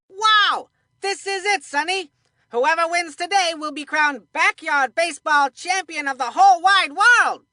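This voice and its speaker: A high-pitched, folksy old-ballgame commentator for a cartoon video game. The delivery is bright and forceful, colloquial and exclamatory, captured in a dry, close studio sound. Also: female voice, dry recording. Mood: thrilled. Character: excitable, folksy retired baseball commentator, cartoon sidekick. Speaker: sports commentator in a children's baseball video game. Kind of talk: cartoon baseball color commentary.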